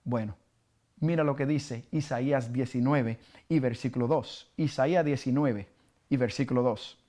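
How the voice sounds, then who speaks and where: A man from Cuba with a Cuban Spanish accent, a pastor teaching a bible study sermon, halting with many fillers and questions to his listeners. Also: mid-range voice, mellow.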